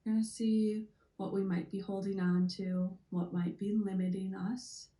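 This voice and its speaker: Female meditation guide, mellow tone, slow soothing delivery with long pauses, echoey room. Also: mid-range voice, level tone. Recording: roomy, echoey sound.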